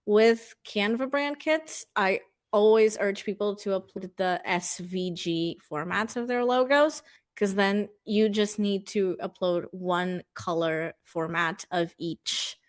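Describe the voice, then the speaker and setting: A woman's voice: mid-range voice, slow pace. A woman whose first language is Portuguese, a brand designer talking as a guest in a casual chat, with fillers and the odd curse. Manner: chatty, swears casually, thinks aloud with fillers.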